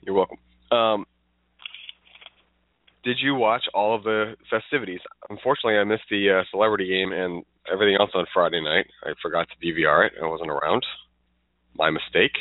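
A man's voice: sits low, fairly even intonation, fairly quick, dry recording. A stuffed-up man co-hosting a casual sports podcast, rambling with restarts. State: stuffed up, congested. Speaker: podcast co-host. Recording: tinny phone-like dry sound.

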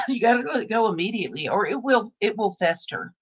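A woman's voice: medium pitch, expressive, brisk, husky, dull audio. A woman in her sixties hosting a casual talk show, rambling and repeating herself with many restarts. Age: 60s. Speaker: host. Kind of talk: casual podcast chat.

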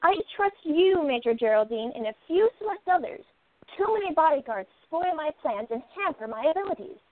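A woman's voice: sits high, animated, fairly quick, no echo. A woman playing a curious, confident exiled princess turned sleuth in a radio play. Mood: firm. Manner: confident, insistent. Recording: thin phone-like sound, noisy line.

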